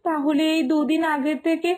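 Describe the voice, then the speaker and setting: A woman voicing a devoted, self-sacrificing village daughter in an animated moral story, speaking in an earnest, emotional, pleading manner. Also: sits high, normal speed, lightly acted. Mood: hesitant, sad.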